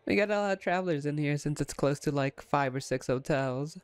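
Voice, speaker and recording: A woman playing a playful, smug, flirtatious female vampire captor in an ASMR roleplay, with a teasing, wry, unhurried manner. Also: deep voice, dry recording, natural voice. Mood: wry, chatty.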